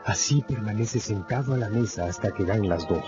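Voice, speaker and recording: A man narrating a scripted radio drama in a measured, grave storytelling manner. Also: fairly low pitch. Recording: dull radio recording with loud music. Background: loud music.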